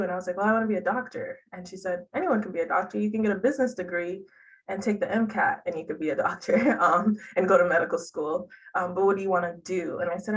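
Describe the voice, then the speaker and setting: A woman's voice: fairly low pitch, distant mic, poor audio. A young woman from Haiti, answering interview questions in a chatty way with laughs between phrases. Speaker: interview guest, public health worker.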